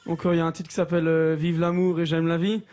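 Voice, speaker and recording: A young man from Alsace with a slightly raised pitch, answering questions on a TV studio set. He talks in a casual, halting way, with frequent fillers and false starts, recorded on a studio microphone. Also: monotone, a little mellow. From Alsace, France. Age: young adult. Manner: hesitant, many fillers and restarts. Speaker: guest singer.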